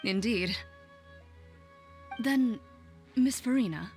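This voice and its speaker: A woman voicing a composed, reserved, dutiful bodyguard in a video game, courteous and dry, with measured, formal speech. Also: on the high side, darkish tone, faint music behind. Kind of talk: video game character acting.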